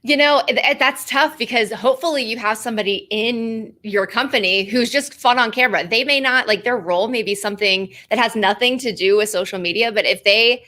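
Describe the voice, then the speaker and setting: A woman's voice: a little high, a little fast, few pauses, pushed and bright. A woman in her thirties, a guest on an interview show, chatting casually and saying "like" a lot, laughing easily. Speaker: guest, video marketing expert.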